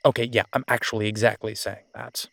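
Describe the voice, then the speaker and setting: Frank American werewolf, ex-army, acted by a man: easygoing, colloquial, fairly low voice, dry close audio-roleplay sound. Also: flat delivery, fairly quick, gravelly, pushed and bright, dry recording. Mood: persuasive. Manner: casual, colloquial, hesitant phrasing. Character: easygoing, frank male werewolf, former soldier. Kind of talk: dramatic audio roleplay acting.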